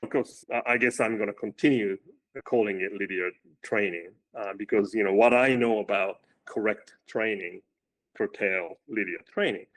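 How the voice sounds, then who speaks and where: A man with a loud voice, a running coach as guest, talking casually and often restarting with repeated words. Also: fairly low pitch, rough recording. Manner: halting, repeats words while thinking. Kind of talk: casual interview chat.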